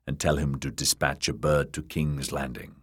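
A man's voice: extremely low pitch, fairly even intonation, moderate pace, breathy edge, pitched below the performer's own voice. A man voicing a stern, weary young military commander in an audiobook, terse and used to giving orders. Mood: weary, commanding.

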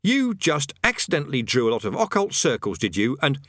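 A man's voice: mid-range voice, sing-song, fairly quick, a bit bright, dry recording, studio quality, voice pushed up. A man voicing a hapless, cowardly wizard who sounds glum and deflated. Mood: bitter.

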